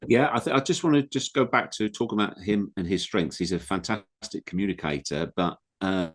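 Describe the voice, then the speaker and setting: Guest pundit: a man, very low voice, earnest, reflective, emphatic. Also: a little fast. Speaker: guest football broadcaster. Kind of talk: casual interview chat.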